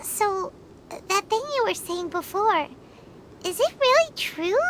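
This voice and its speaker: A woman's voice: very high voice, expressive, takes it slowly, noisy background, exaggerated voice. A woman voicing a small, chatty female fairy companion in a video game cutscene, eager and gushing and referring to herself in the third person. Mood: excitable.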